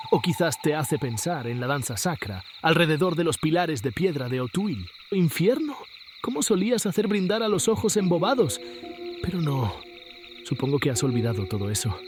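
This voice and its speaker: A man's voice: fairly high pitch, slight background noise. A man playing a disdainful, impatient artist with an occult obsession in an audio horror story. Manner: disdainful, sarcastic.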